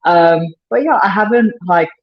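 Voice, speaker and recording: A woman with a South African accent and a naturally deeper voice, talking candidly and self-deprecatingly as a podcast guest, rushing through thoughts with many fillers. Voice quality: deeper than usual voice. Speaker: powerlifter guest. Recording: echoey room, dull lo-fi sound.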